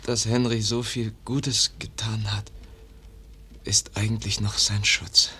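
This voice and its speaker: Slow, feverish dying young man. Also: fairly low pitch, flat delivery, pushed and bright, full character voice. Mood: fading, grateful. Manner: halting, weak, drifting into whispers. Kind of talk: German radio play acting. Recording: noisy archive radio play recording.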